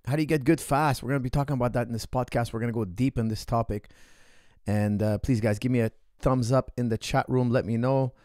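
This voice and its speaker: A man's voice: medium pitch, steady tone, fast talker, darkish tone, no room sound. A man in his thirties, a martial arts coach, talking energetically on a podcast Q&A, repeating phrases for emphasis into a close studio mic in a small room. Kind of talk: casual podcast Q&A. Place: small indoor room, seated. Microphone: studio mic on boom arm, close.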